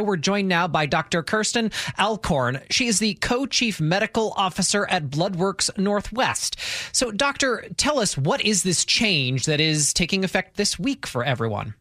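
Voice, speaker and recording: A man with a fairly high voice hosts a morning news radio show, putting questions to guests. The sound is dry and studio-close. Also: bright, forceful tone, no echo. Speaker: fill-in morning radio host.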